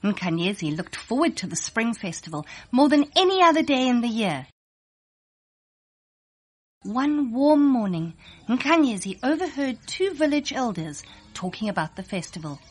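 A woman narrating a scripted children's story in an expressive read-aloud manner. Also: on the high side, moderate pace, slightly rough, soft background music.

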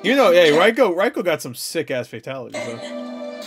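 A man's voice: a little high, very animated, moderate pace. A man doing casual reaction commentary, slangy, sweary and joking. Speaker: reaction video host. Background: game audio with music.

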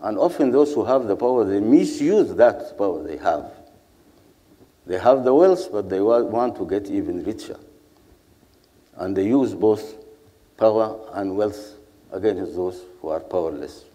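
Very deep older Sudanese man, echoing hall. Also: lively intonation, mellow. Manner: measured, deliberate, rhetorical repetition. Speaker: panelist. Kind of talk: panel speech, earnest public address. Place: seated on a stage in a large hall. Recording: echoey hall with long reverb.

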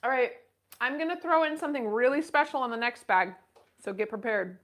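Woman in her thirties, bubbly auction host, chatty, slightly high voice, filmed in a shop. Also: fairly bright tone. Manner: bubbly, chatty, calls out bids quickly. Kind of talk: live-stream sales auction. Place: western boutique shop, indoors.

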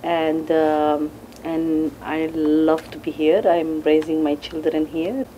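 Low, dark-voiced Somali woman. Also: flat delivery, takes it slowly, highs cut off, slight background noise. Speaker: panelist. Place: conference room, indoors.

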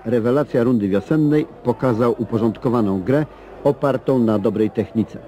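A man narrating a football report and questioning players in a steady, formal manner. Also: medium pitch. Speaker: sports reporter. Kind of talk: scripted report narration and interviewing.

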